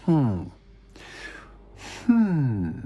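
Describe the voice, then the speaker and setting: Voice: mid-range voice, wildly swooping pitch, drawn-out words, husky, lo-fi sound, exaggerated voice. An actor voicing a mischievous, theatrical poltergeist in a video game, with a pompous, drawling delivery. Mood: gleeful, scheming. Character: mischievous, theatrical poltergeist fond of causing chaos. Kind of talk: video game character acting.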